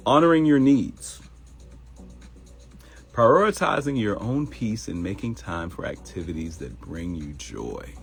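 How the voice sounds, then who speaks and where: A man's voice: on the low side, vocal fry. A middle-aged man with a Baltimore accent hosting a live morning show in casual talk, addressing viewers directly and acting out quoted voices.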